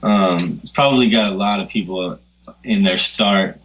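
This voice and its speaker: A man's voice: lowish voice, measured pace, a bit bright, rough recording. A man co-hosting a podcast, chatting casually in a blunt, profane, emphatic way. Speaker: podcast co-host. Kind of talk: casual chat.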